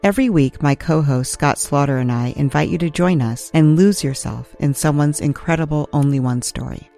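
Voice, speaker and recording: A woman's voice: sits low, normal speed, creaky, dark tone. A woman podcast host reading a scripted trailer for a show. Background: music.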